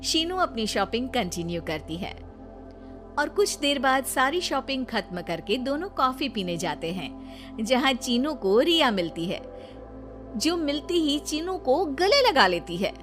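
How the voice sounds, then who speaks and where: Woman telling a cartoon bedtime story, high lively voice, soft music under. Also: unhurried. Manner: even, storytelling. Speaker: narrator. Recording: faint music behind.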